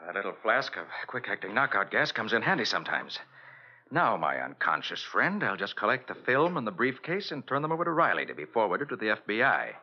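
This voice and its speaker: An actor playing a confident, quick-witted private detective with an assured, wry manner in an old radio drama. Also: male voice, fairly low pitch, big pitch swings, breathy edge. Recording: dull old radio recording.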